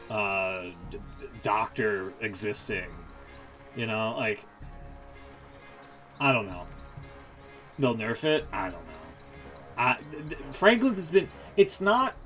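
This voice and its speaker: A man's voice: medium pitch, a bit bright. A man hosting a game stream with casual, opinionated, rambling commentary and some swearing.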